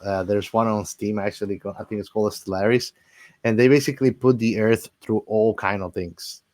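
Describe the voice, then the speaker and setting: Low-voiced man chatting casually. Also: fairly even intonation, brisk, a little mellow. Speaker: podcast host. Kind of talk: casual movie discussion chat.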